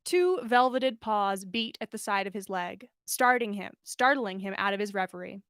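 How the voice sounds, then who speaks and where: A woman narrating fiction aloud as a podcast host, animated and expressive in the way she tells it. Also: mid-range voice, normal speed, no echo, clean studio sound. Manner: animated storyteller, acts out dialogue. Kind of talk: expressive story narration, livestream read-aloud.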